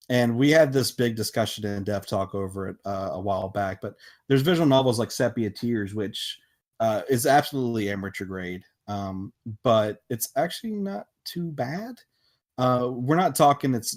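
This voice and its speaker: Guy w a slightly deep voice explaining stuff on a podcast, kinda halting, matter of fact. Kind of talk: casual podcast discussion.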